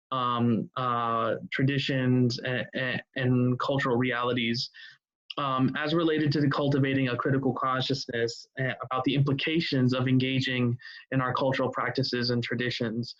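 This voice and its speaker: Middle-aged American man, halting and even-toned. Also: medium pitch. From United States, Ohio. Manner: halting, deliberate phrasing with many filled pauses. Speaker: guest presenter, workshop leader. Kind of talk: informal online presentation. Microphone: video call. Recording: dull, lo-fi video call audio.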